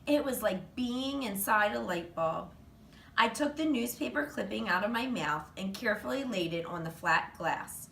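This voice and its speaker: A woman reading a children's story aloud in an animated, expressive storytelling manner. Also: mid-range voice, moderate pace, vocal fry. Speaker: reader, teacher. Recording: roomy, distant mic, faint noise.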